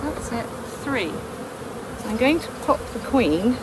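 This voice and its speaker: A woman's voice: fairly high pitch, sing-song, a little slow. An older woman beekeeper outdoors in a garden, chatting as she explains each step of her work to the camera. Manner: delighted, exclaiming. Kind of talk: hands-on demonstration vlog. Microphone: camera close by, wearing a bee veil. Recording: outdoor noise with buzzing bees.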